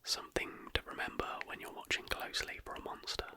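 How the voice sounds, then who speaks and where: An ASMR host reading a script in a soft whisper, with careful, deliberate phrasing.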